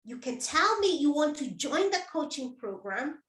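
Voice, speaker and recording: A woman's voice: high voice, big pitch swings, normal speed, a bit bright. A middle-aged woman coach hosting a motivational talk, speaking directly to her listeners, encouraging them and repeating herself for emphasis. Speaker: menopause coach and host. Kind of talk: live motivational talk to viewers. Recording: echoey room.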